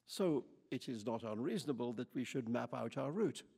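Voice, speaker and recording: A man's voice: mid-range voice, expressive, normal speed, creaky, a little mellow, breathy edge, in a large hall. A man reading aloud and voicing a courteous, formal older male traveler who answers hostility mildly and politely.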